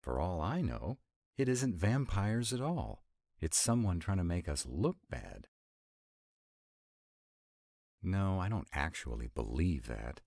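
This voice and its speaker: An extremely low, closely dry-recorded male vampire, acted out by a man for a roleplay audio. He is sarcastic, wry and easily irritated, with a rebellious streak. His delivery is conversational and intimate, swinging animatedly as he makes his drawling asides. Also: a little mellow, no echo, full character voice. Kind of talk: intimate one-sided roleplay audio acting.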